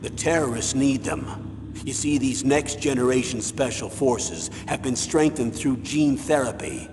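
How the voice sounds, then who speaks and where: A man's voice: mid-range voice, darkish tone, lightly acted. Grave military commander in echoing briefing, acted by a man. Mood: solemn explaining. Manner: measured, serious, expository. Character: grave, authoritative older male military commander briefing an agent. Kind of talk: dramatic video game mission briefing. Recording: long reverb, clear background noise.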